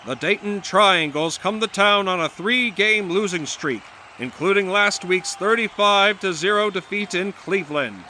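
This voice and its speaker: An actor playing an excited, florid 1920s radio football announcer calling play-by-play in a period drama. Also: male voice, sits high, measured pace, slight background noise. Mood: upbeat. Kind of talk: 1920s radio sports commentary.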